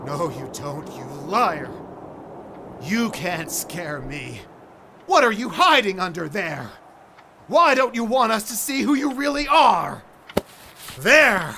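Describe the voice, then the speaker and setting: A man's voice: high voice, sing-song, slow, long pauses, pushed and bright, a little hiss or hum, full character voice. An actor playing an irascible, sceptical old man in a radio play, blustering and indignant. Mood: furious accusation. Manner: blustering, indignant, stammering scorn.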